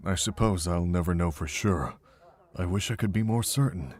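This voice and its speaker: Casting: man to play wise, regretful middle-aged monk for a visual novel; very low, raspy register, gentle reflective storytelling. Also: moderate pace. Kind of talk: fan visual novel voice acting.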